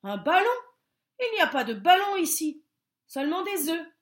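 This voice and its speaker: A woman's voice: very high-pitched, very animated, normal speed, voice pushed up. A woman doing a shrieking, protective stork. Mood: alarmed. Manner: clattering, accusing. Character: huge stork guarding its eggs.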